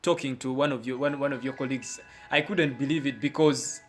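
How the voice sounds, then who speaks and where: A man with a mid-pitched voice works as a talk show host. He speaks informally and conversationally, often repeating words and circling back to rephrase his questions. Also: fairly even intonation, brisk, faint music behind. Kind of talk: live interview, conversational questioning.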